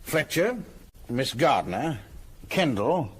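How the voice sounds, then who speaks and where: An actor with a British accent playing a shrewd, patient senior police detective in a radio drama, with a measured, probing and authoritative manner. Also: male voice, mid-range voice, very animated, breathy edge, exaggerated voice. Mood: deducing, assured.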